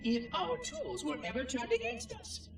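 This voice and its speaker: A man's voice: extremely high pitch, wildly swooping pitch, moderate pace, background noise, exaggerated voice. A male actor playing a lonely, fretful ancient machine caretaker in a game cutscene, musing to itself in prim, formal, precise speech. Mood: musing, uneasy. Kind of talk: theatrical game cutscene monologue. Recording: echoey, distant, loud music behind. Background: loud music.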